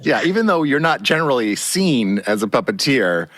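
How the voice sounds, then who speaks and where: A man's voice: mid-range voice, very animated, fairly bright tone. A man hosting an interview podcast, chatting easily and laughing often while his guest talks. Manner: laughs often, affirms with quick yeahs. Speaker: podcast host. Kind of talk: relaxed interview chat.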